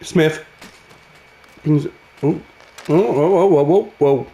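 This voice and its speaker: A man, raspy from a cold, giving casual play-by-play commentary over a hockey video game. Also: fairly high pitch, slow, echoey room. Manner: excitable, calls the action as it happens. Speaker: gaming channel host.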